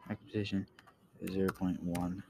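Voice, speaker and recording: A man giving a casual software tutorial, thinking aloud in a halting way and often trailing off. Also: sits low, level tone. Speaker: tutorial host. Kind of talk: casual screen-recorded coding tutorial. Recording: clear background noise, keyboard clicks.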